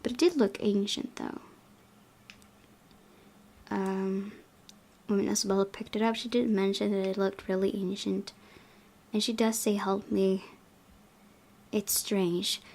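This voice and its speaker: A woman hosting a casual game playthrough, reading and commenting, thinking aloud in a halting way. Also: mid-range voice, flat delivery, a little mellow. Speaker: gaming video host.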